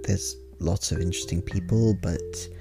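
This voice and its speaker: A man's voice: deep voice, fairly even intonation, normal speed, creaky, over music. A man playing a friendly, rambling diarist in an ASMR roleplay, talking casually with wry, hesitant asides. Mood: earnest, wry.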